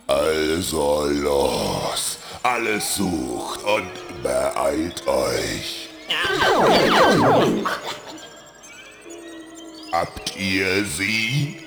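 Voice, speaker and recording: A man playing a bossy, gloating computer-virus gang leader who barks orders in a children's radio play, with a gravelly voice. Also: extremely low pitch, big pitch swings, very slow, hardly any pauses, reverberant, loud music behind the voice, exaggerated voice. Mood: barking, impatient. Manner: barking orders, blustering.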